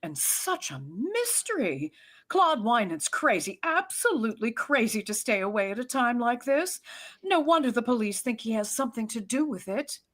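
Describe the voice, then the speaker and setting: A woman's voice: a little high, very animated, moderate pace, a bit bright. A woman playing a dramatic, fretful society mother in a 1930s-style radio mystery, with a gushing, coaxing manner. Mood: melodramatic.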